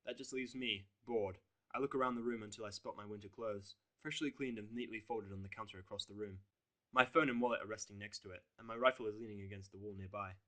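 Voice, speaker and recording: A man's voice: lowish voice, fast talker, sharp tone. A man reading a first-person fan fiction story as narrator, with a blunt, sardonic delivery.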